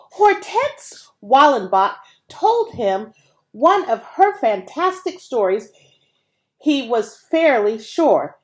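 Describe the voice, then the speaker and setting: A woman reading a children's novel aloud as scripted narration, in an expressive, dramatic manner. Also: a little high. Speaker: audiobook reader.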